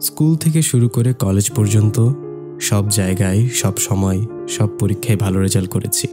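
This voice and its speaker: A man playing a loyal young village friend who tells a cartoon story in a sentimental, measured way. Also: lowish voice, natural voice. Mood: nostalgic. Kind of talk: dramatized story narration in an amateur cartoon. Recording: music behind the voice.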